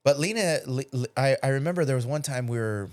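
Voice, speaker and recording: A man's voice: medium pitch, lively intonation, fast, no echo. Middle-aged man telling stories casually. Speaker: podcast host. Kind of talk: casual chat, storytelling.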